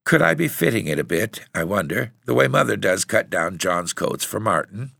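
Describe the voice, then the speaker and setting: A man's voice: fairly low pitch, very animated, normal speed, creaky, a bit bright, no echo, clean studio sound, voice pushed up, heavily acted. A man voicing a headstrong, earnest girl of about ten in an audiobook story. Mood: determined. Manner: earnest, stubborn. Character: headstrong little Irish girl of nine or ten.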